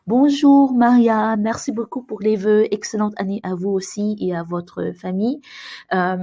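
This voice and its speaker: A woman's voice: medium pitch, fairly even intonation, a little slow, mellow. A woman hosting an advice channel, answering viewer emails in a casual, friendly way with many hesitations and fillers. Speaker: immigration advice channel host.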